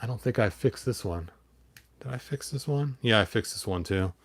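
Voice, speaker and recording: A man's voice: on the low side, lively intonation, moderate pace. A man hosting a hobby vlog in casual, digressive chat, often half talking to himself as he handles things. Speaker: toy collector, video host.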